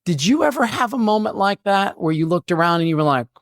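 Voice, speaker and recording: A man's voice: sits high, very animated, fast talker, no room sound, clean studio sound. A man co-hosting a podcast, chatting candidly and swearing casually while he shares his own childhood. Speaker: podcast co-host. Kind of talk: casual interview chat.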